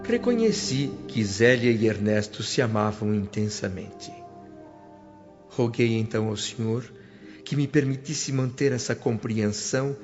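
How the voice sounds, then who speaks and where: A man playing a humble, reflective spirit who narrates a radio drama in earnest, measured phrases with a Brazilian accent. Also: medium pitch, animated, lightly acted. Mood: solemn, reflective. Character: reflective, humble male spirit recounting his awakening. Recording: dull audio with music behind.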